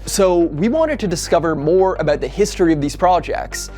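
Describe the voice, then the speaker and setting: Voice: mid-range voice, animated, brisk, dark tone. Casual video essay narrator over music. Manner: casual, conversational phrasing. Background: background music.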